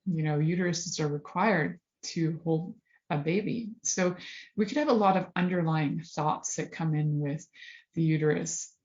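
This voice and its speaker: Candid low-voiced woman, rambling. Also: measured pace, a little mellow. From Serbian family background. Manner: candid, rambling, self-correcting, with laughs. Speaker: host. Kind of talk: casual monologue on health and sexuality. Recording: dull lo-fi sound.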